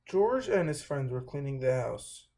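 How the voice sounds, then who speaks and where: A man hosting a children's read-aloud, reading a storybook with a somewhat halting delivery. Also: mid-range voice, very animated, creaky, warm tone.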